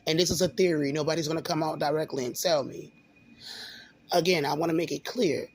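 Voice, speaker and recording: A man with a slightly raised pitch talks straight to camera in a casual monologue. His throat is a little sore, and he speaks haltingly, restarting phrases and filling pauses with "um.". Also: steady tone, breathy edge. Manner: halting, repeats words, many fillers. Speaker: video blogger.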